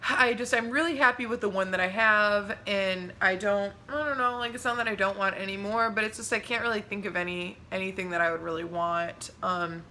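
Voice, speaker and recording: A young woman in her twenties chatting casually to camera as a vlogger, rambling with lots of "like" and "um", laughing easily and jumping up in pitch when excited. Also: a little fast, few pauses, a little hiss or hum.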